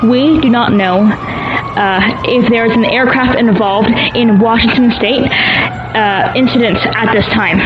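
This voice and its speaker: A woman playing a news anchor in an audio drama, delivering breaking news in a grave, formal, measured manner. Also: on the high side, lightly acted. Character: female TV news anchor covering breaking attacks. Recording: muffled broadcast sound, clear background noise.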